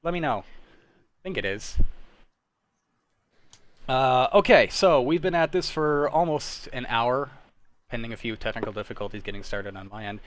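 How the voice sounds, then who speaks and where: A young adult man hosting a casual livestream chat, telling anecdotes in an animated, self-deprecating way. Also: mid-range voice, normal speed, long pauses, no echo. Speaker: host of an online table-read show.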